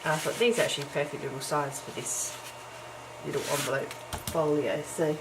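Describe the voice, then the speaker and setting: Middle-aged woman, low croaky morning voice, chatty crafting vlogger full of ums and little laughs, camera-mic sound with audible hiss. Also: steady tone, fairly quick. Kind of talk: casual chat while crafting. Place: home, early morning. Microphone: camera's built-in mic. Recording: noisy home camera recording.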